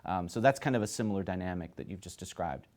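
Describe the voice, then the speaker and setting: A man hosting a TV panel discussion, explaining things in a measured way. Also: low-pitched, darkish tone. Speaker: host and moderator of a TV talk show.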